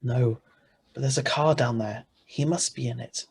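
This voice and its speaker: Guy doing a worried protective husband in an old radio thriller, average pitch, tense plain talk. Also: steady tone, moderate pace, gravelly, a little hiss or hum, lightly acted. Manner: urgent, plain-spoken. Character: tense, protective ordinary husband, hard-boiled narrator. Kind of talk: 1940s-style radio drama acting and narration. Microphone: video call.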